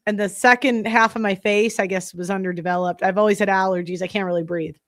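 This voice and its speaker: A woman's voice: mid-range voice, fairly even intonation, quick pace. Nasal young woman rambling to her viewers, breathes heavy, blunt and chatty. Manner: rambling, blunt, many fillers. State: breathing heavily. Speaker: podcast host. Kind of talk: casual chat to viewers.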